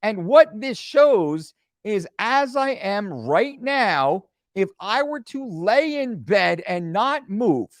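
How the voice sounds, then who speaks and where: A man in his forties leads a screen-share fitness lesson with a fairly high voice that swings widely in pitch. He keeps things animated and throws in joking asides. The sound is close and dry, with no room around it. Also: no echo. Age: 40s. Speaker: fitness coach.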